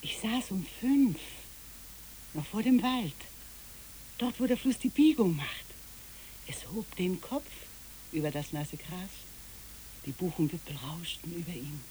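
A woman's voice: lowish voice, lively intonation, measured pace, pauses often, warm tone, a little hiss or hum, low-quality recording. A woman playing a kindly, dreamy old boat keeper in a German radio play, musing as she tells things.

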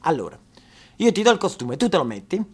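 Man putting on a gruff circus director. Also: fairly high pitch, big pitch swings, fairly quick, darkish tone. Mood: bossy. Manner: brusque, dismissive, barking orders.

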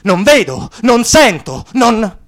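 A man's voice: extremely high pitch, very animated, takes it slowly, exaggerated voice. A man playing a fervent anti-mafia activist in a theatrical, mocking radio monologue, emphatic and dramatic. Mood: hushed, bitter. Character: fervent satirical male anti-mafia activist telling his story.

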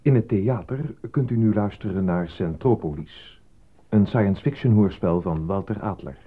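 A man's voice: on the low side, lively intonation, normal speed. A man working as a radio continuity announcer, reading a scripted programme announcement. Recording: muffled old radio recording.

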